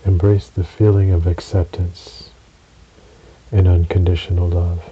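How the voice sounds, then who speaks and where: A man narrating a guided relaxation meditation in a soothing, measured way, with long pauses between short phrases. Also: low-pitched, little pitch movement, mellow, breathy edge. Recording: dull recording with audible hiss.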